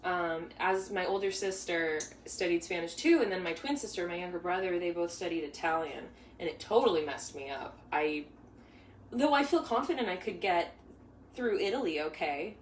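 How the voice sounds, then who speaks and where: A woman's voice: lowish voice, expressive, normal speed. A young woman hosting a live bake-along, talking casually while she stirs a pot, with lots of restarts and side stories. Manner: chatty, rambling, with many restarts and asides. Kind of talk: casual live cooking demo. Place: home kitchen. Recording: echoey kitchen, mic far off, faint hum.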